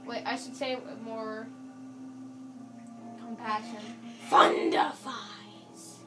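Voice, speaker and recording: Woman, fairly high, slow, casual commentary, music in room. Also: flat delivery. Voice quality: chatty, joking asides to herself. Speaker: gamer. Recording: game music playing in the room. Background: game music.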